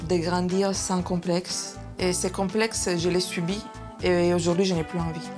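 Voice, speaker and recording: A woman in her thirties from Sardinia with an Italian accent, talking haltingly in a documentary interview. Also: on the low side, little pitch movement. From Sardinia, Italy. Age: 30s. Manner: hesitant, many restarts and fillers. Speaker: cosmetic surgery patient. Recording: music playing underneath.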